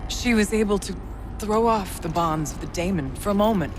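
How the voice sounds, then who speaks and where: Actress voicing a earnest game priestess, solemn, prayerful delivery, mid voice, music bed. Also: female voice, medium pitch, moderate pace, noisy background, natural voice. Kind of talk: video game cutscene.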